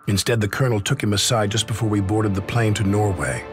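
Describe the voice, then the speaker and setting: An actor playing an army officer, narrating war memories in a measured, sober way for a video game. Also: male voice, fairly low pitch, steady tone, over music, lightly acted. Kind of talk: scripted cinematic narration.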